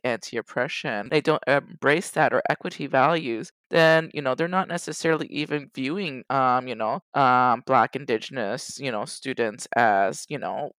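A man speaking personally on a panel, in a halting, conversational way full of verbal fillers. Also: mid-range voice, a bit bright, no room sound. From Toronto, Canada. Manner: halting, frequent "you know" and "like".

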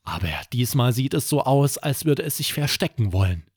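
A man narrating a scripted children's bedtime story as podcast host, with expressive, dramatic delivery. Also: medium pitch, normal speed, breathy edge, no echo, clean studio sound.

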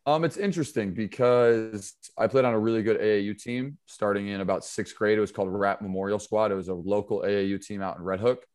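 A relaxed man from upstate New York chats as a guest on a basketball podcast, his voice sitting a little low. He talks loosely, leaning on fillers and easy asides. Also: fast, hardly any pauses. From upstate New York, USA. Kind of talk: casual interview chat.

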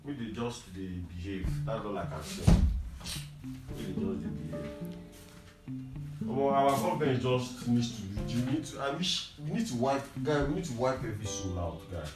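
Nigerian male, animated slangy storyteller, distant echoey mic, guitar music underneath. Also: medium pitch, normal speed. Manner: animated, slangy, repeats himself for emphasis. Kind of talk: casual banter and storytelling in Pidgin-mixed English.

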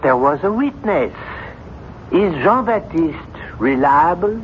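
High-voiced, sceptical doctor. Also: male voice, lively intonation, slow pace. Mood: sceptical, impatient. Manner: probing, dismissive. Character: sceptical, brisk-minded male doctor, stern with his patient. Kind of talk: 1970s radio drama acting. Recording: muffled old radio recording, faint noise.

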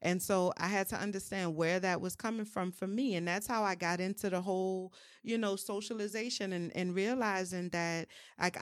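A woman's voice: mid-range voice, dry recording, studio quality. A woman from New Orleans, talking openly in a personal conversation, with frequent "um"s and "you know"s. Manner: open and frank, many "um"s and "you know"s.

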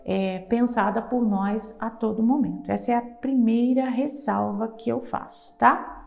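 Didactic Carioca woman with gravelly voice. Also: on the high side, reverberant. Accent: Carioca Brazilian Portuguese. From Rio de Janeiro, Brazil. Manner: didactic, checks in with frequent "tá?". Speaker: psychologist lecturer. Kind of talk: lecture to psychologists.

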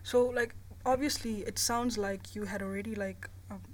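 A South African woman hosting a podcast, speaking in a casual, chummy way with relaxed slang. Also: medium pitch, normal speed, slight background noise. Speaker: podcast host. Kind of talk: casual podcast introduction and interview.

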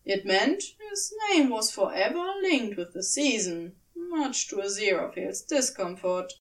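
A woman reading a scripted story aloud as an expressive narrator. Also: mid-range voice, unhurried, echoey room, far from the mic. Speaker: podfic narrator.

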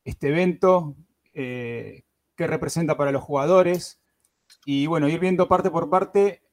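A man's voice: a little high, unhurried, a little mellow. A man hosting a gaming podcast, talking casually with lots of fillers and restarts. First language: Spanish. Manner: hesitant, many fillers and restarts. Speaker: podcast host.

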